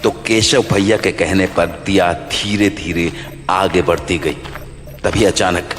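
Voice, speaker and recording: A man's voice: low-pitched, fairly even intonation, slow pace. A man narrating a scripted horror story as the storyteller of an audio drama. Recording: long reverb over faint music.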